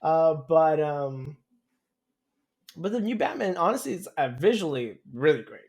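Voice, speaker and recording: A man's voice: fairly high pitch, sing-song, measured pace, gravelly, a little mellow. A young man co-hosting a podcast, chatting casually in a rambling, hesitant way full of hedges. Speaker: podcast co-host. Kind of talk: casual chat.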